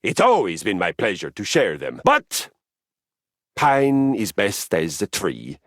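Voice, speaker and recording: A man's voice: lowish voice, wildly swooping pitch, slow, fairly bright tone, no room sound, studio quality, full character voice. An actor voicing a boisterous, violence-loving male exercise machine with a Viking spirit, in grand, archaic, theatrical speech. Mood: grandiose. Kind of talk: game voice lines. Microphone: close studio mic.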